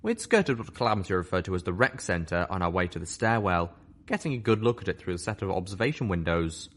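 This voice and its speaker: A man with a very low voice reads a long story aloud. His delivery is animated, with wide swings in pitch. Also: fast talker. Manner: expressive, animated reading. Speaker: story reader. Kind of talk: audiobook narration of fan fiction.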